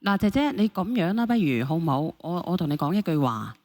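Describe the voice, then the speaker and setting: A woman hosts a call-in show. Her voice sits a bit low and she talks quickly, pressing with question after question as she mediates. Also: very animated, mellow. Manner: questioning, persistent. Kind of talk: mediating a caller dispute, casual talk.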